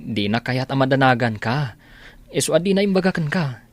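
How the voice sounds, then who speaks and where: A man's voice: mid-range voice, expressive, close to an everyday voice. Man playing a hesitant, concerned friend. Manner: hesitant, halting. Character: hesitant, concerned male friend breaking bad news gently. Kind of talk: radio drama acting.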